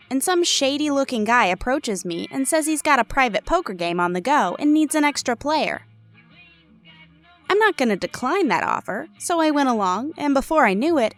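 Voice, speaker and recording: A woman's voice: fairly high pitch, a bit bright. An actress voicing a broke, cheeky young woman gambler in a game, chirpy and self-mocking. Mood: eager. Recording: game audio with faint music.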